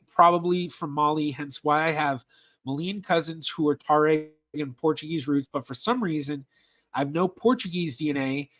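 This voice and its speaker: A man answers viewer questions on a casual livestream, his voice sitting a little high for a man. He hesitates often, restarts his sentences and fills the gaps with ums as he reads the questions aloud. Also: a bit bright.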